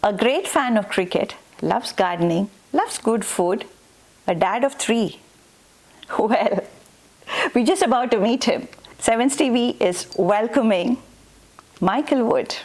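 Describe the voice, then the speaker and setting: A woman hosting a television interview show, full of quick, effusive affirmations. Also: a little high, expressive, a little mellow. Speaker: talk show host.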